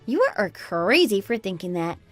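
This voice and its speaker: A woman's voice: mid-range voice, very animated, normal speed, no echo, faint music behind, natural voice. A woman playing a fed-up, sarcastic daughter-in-law in a melodramatic radio drama. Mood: cold, sarcastic. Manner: sarcastic, taunting. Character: scornful, fed-up adult daughter-in-law, Anna, defiant and mocking. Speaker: voice actor in an online radio drama.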